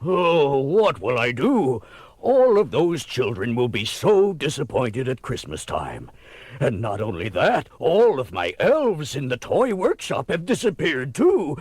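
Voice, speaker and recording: A man's voice: medium pitch, wildly swooping pitch, a little slow, darkish tone, husky, dry recording, exaggerated voice. An actor playing a kindly, fretful old man, lamenting dramatically in a cartoon audio drama. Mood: distraught. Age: older.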